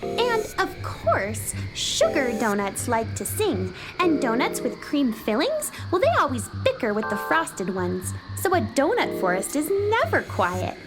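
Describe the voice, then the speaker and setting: A woman's voice: sits high, huge pitch swings, a little slow, exaggerated voice. A woman playing a plucky, curious little cowgirl in a children's space radio adventure, with earnest childlike delivery, over music. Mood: earnest, whimsical.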